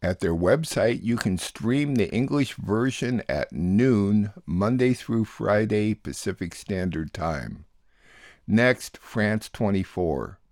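A man hosting a news program, reading station details and introducing the next report in a measured, even way. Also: fairly low pitch, dry recording, clean studio sound. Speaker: radio show host. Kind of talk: plain scripted news links.

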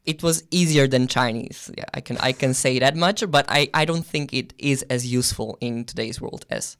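A young man from Slovenia, a guest on an interview show, answering in a thoughtful way with many pauses and restarts. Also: mid-range voice, a bit bright, smooth. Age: young adult. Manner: thoughtful, with frequent ums and restarts. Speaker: guest, exchange student.

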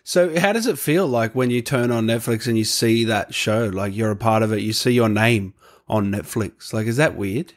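A man's voice: lowish voice, normal speed. A man hosting an interview in a casual, easygoing way. He asks loose questions and reads out questions from viewers. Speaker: interview host.

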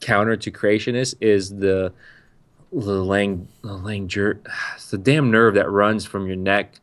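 Low-voiced man in rambling casual chat. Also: fairly even intonation, measured pace, fairly bright tone. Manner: rambling, restarts sentences often.

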